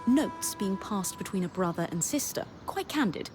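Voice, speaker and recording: An actress playing a formal, scholarly codebreaker in a video game, speaking in a precise, measured, dry manner. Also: female voice, mid-range voice, lively intonation, natural voice. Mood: composed, dry. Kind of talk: video game dialogue acting. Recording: voice under clear background music.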